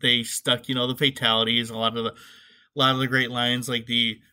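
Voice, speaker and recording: Middle aged guy doing a casual funny movie podcast, bright punchy voice, wry and full of opinions. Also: mid-range voice, flat delivery, normal speed, smooth. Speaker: podcast host. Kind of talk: casual comedic movie review commentary.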